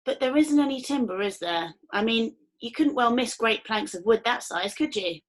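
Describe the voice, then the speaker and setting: A woman's voice: a little high, lively intonation, normal speed, voice pushed up. A woman voicing a sly island father who plays innocent in a folksy, evasive way.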